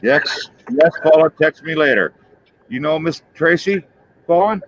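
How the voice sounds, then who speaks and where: A man's voice: fairly high pitch, expressive, measured pace, dull audio, slight background noise. Man in his fifties, joking stream host. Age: 50s. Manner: loose, joking, rambling. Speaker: livestream host. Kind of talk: casual chat with viewers.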